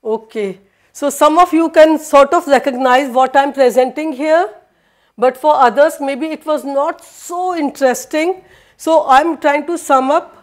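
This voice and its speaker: A woman's voice: high-pitched, unhurried. A middle-aged woman whose first language is Hindi gives a university lecture in a room with a projector, recorded from a far camera. She hesitates often, with many fillers and restarts.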